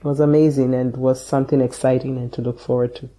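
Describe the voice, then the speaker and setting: Interview guest: woman from Ghana, mellow tone, reflective, laughs easily. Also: rumbling low, level tone, quick pace. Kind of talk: casual interview chat.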